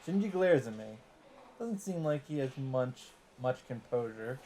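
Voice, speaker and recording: A man's voice: mid-range voice, expressive, measured pace, dark tone, slight background noise. A man reading visual novel text aloud for a let's play and adding wry, joking asides.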